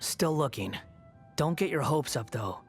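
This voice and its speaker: A man's voice: on the high side, lively intonation, unhurried, creaky, faint music behind. A man voicing a haughty young antihero in a video game, sarcastic, curt and dismissive. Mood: dismissive, guarded. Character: sardonic, haughty young male puppet antihero.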